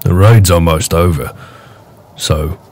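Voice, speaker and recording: A man playing a big, gruff, protective orc raider with a wry, casual manner in a fantasy roleplay audio drama. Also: sits low, slow, a little mellow, heavily acted. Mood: firm, matter-of-fact. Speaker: voice actor.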